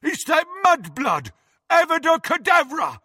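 A man voicing a hulking, slow-witted teenage bully wizard from a storybook, blunt, ungrammatical and belligerent. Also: extremely high pitch, huge pitch swings, sharp tone, dry recording, studio quality, voice pushed up, exaggerated voice. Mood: defiant fury.

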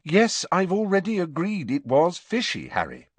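Man voicing sensible teenage girl, lifted pitch, falling phrase ends, faintly exasperated, audiobook character. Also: huge pitch swings, moderate pace, vocal fry, fairly bright tone, husky, no room sound, exaggerated voice. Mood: impatient.

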